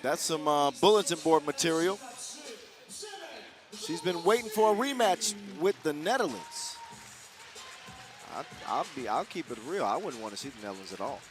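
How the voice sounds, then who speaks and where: Upbeat guy calling hoops play by play, slightly high voice, music going behind him. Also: normal speed, pauses often. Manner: energetic, jokey, rises to shouts on big plays. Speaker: play-by-play commentator. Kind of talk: live basketball commentary. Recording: music playing behind the commentary.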